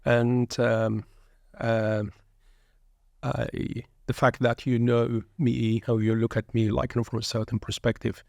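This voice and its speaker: Male shaykh, gravelly and level, preacherly podcast guest on a dry mic. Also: mid-range voice, little pitch movement, unhurried, darkish tone, dry recording. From born in England, raised in Sudan. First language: Arabic. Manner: earnest, preacherly, peppered with Arabic phrases. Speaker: guest shaykh, pilgrimage group leader. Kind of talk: reflective religious podcast talk.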